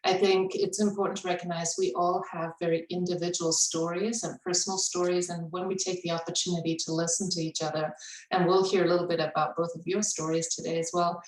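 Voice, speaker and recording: Low-voiced woman on a roomy distant mic. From Indonesia. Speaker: cultural humility trainer. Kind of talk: webinar conversation.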